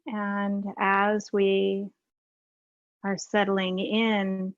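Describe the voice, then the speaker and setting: A woman leading a guided meditation as a teacher, unhurried and leaving long pauses. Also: mid-range voice, completely flat delivery, no room sound, lo-fi sound.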